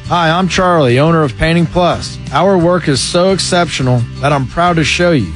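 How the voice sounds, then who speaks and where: A man's voice: fairly high pitch, normal speed. Earnest man in a commercial. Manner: proud, earnest. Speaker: business owner in an ad. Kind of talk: scripted commercial read. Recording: commercial with guitar music under.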